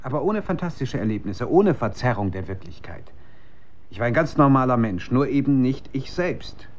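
A man's voice: mid-range voice, very animated, moderate pace, darkish tone, dull audio, lightly acted. A man acting in a German radio drama as a troubled, principled research scientist, speaking in an earnest, searching way. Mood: unsettled, explaining.

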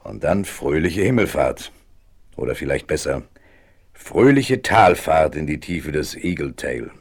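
A ruthless outlaw leader with a very deep voice that swings widely. He is sly, sneering and gloating, in the style of a 1970s western radio play. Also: very animated, measured pace, full character voice. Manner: sneering, menacing. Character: scheming ruthless male gang boss, cynical and gloating. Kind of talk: German radio play acting.

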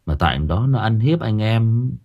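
A man's voice: on the low side, lively intonation, normal speed, highs cut off. A man voicing a hot-headed, stubborn young labourer in an audio story, curt and challenging.

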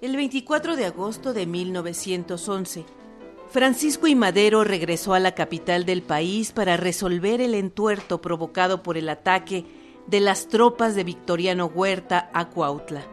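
A woman's voice: on the low side, normal speed, faint music behind. A woman narrating a historical radio drama in a scripted, formal documentary style.

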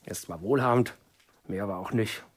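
An opinionated man in a radio drama, fretful and indignant. His voice is a little low and slightly husky. He insists on his points with agitated emphasis. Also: a little fast. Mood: indignant, anxious. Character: exasperated, opinionated man fretting over a friend. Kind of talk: German radio play monologue and dialogue.

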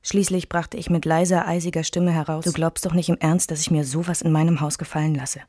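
A low-voiced, restrained weary wife. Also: female voice, level tone, a little fast, warm tone, no room sound, clean studio sound. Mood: tense, bitter. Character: weary wife and mother recalling her troubled marriage. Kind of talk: literary first-person narration in a radio play.

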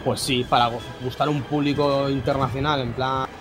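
A man's voice: mid-range voice, level tone, moderate pace. Blunt young man telling stories. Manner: blunt storytelling, swears freely. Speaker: podcast co-host. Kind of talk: casual chat. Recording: music playing underneath.

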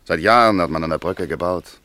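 An actor playing a sceptical village doctor in a German radio drama, dismissive and grumbling, with little patience for superstition. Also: male voice, deep voice, brisk, bright, forceful tone, dry recording. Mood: sceptical, puzzled.

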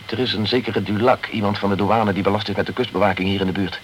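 Guy playing a pushy detective in an old radio thriller, talks fast, bit low, slightly noisy recording. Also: level tone, close to an everyday voice. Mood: brisk, confiding. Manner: brisk, insistent, commanding. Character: urgent, pushy male investigator pressing for the truth. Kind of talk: Dutch radio crime drama acting.

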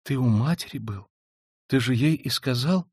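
A man's voice: mid-range voice, lively intonation, moderate pace, breathy edge, dry recording, studio quality, voice pushed up. A man voicing a frightened, loving sister. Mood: anxious, tender. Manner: anxious, pleading exclamations.

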